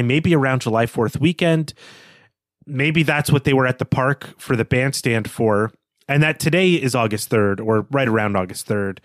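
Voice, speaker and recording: A man's voice: medium pitch, no room sound, studio quality. A man co-hosting a podcast, talking through a book in a conversational, explanatory way. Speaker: podcast co-host.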